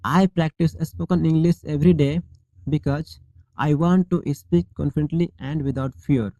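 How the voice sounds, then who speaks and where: A male English teacher leading a shadowing drill, reading each model sentence slowly and deliberately, then repeating it clearly. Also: on the high side, steady tone, mellow, no room sound, poor audio.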